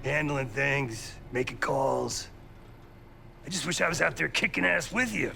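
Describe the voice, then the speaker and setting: Gravelly, gruff American veteran. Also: male voice, fairly high pitch, measured pace, music in the background. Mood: firm, fatherly. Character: gruff, foul-mouthed older male veteran mentor, fiercely loyal. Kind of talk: video game cutscene acting.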